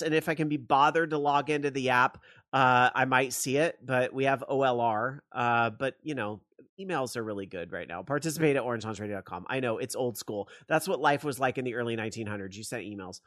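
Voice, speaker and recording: A male podcast host in casual chat, rambling and self-mocking with easy laughs. His voice sits at a middling pitch, recorded close and dry with no room sound. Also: a bit bright, no room sound. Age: young adult.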